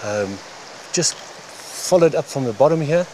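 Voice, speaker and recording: Unhurried man over stream noise. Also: fairly high pitch, expressive. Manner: unhurried, halting phrases with pauses. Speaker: fly fishing guide. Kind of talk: instructional commentary while fishing. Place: outdoors on a wooded stream bank. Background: running water, outdoor ambience.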